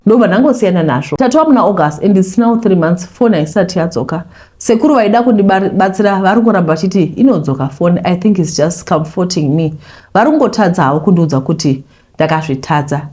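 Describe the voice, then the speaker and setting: Quick-talking Zimbabwean woman, mellow voice. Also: fairly low pitch. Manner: animated, mixing Shona and English. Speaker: host reading confessions. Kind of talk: reading a letter aloud, then commenting.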